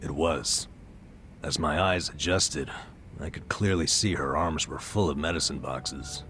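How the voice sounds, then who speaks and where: Very deep, weary burned-out man. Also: a little slow, fairly bright tone, a little hiss or hum, heavily acted. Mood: wry, regretful. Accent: American. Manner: wry, self-deprecating, weary. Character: burned-out, self-deprecating former male nurse confiding a past. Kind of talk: video game dub, confessional storytelling. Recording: music behind the voice.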